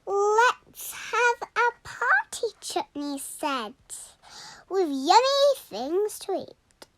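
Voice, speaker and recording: A young girl reading a rhyming children's storybook aloud in a deliberate, expressive way. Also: very high voice, no echo. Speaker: story reader.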